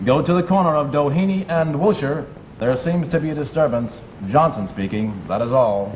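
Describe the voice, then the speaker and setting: A male actor plays a formal police radio dispatcher with a slightly raised pitch. The 1950s radio recording is dull, with faint rumble. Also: moderate pace, close to an everyday voice. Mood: businesslike. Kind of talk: 1950s radio comedy acting.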